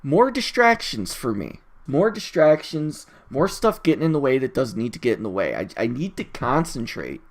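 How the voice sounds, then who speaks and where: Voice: medium pitch, sing-song, moderate pace, hardly any pauses. A person recording a casual solo audio log, rambling in an opinionated, exasperated and emphatic way with frequent tangents and swearing. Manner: rambling, easily distracted, opinionated.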